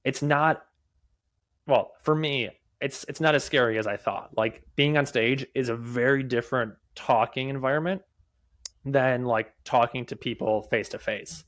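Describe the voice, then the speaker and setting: A young man who stutters, answering interview questions candidly as a podcast guest, with halting restarts, repeated words and many fillers. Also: mid-range voice, steady tone, fairly bright tone. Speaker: podcast guest, mobile developer and conference speaker.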